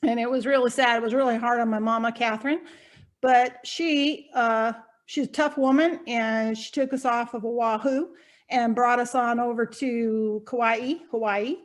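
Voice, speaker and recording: A woman's voice: on the high side, fairly even intonation, moderate pace, close to an everyday voice. A woman playing a spunky old woman with a country twang. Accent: light Southern country twang. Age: 70s. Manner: folksy, chatty, laughs often, rambling. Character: spunky eccentric old Hawaiian-born woman, eighty, proud. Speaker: fictional character being interviewed. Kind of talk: improvised in-character interview.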